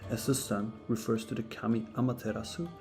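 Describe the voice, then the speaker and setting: A man, not a native English speaker, reading a scripted philosophy lesson in a steady, measured way. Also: mid-range voice, gravelly. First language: neither English nor Japanese. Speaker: narrator of a philosophy channel. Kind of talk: scripted educational narration. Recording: faint guitar music behind.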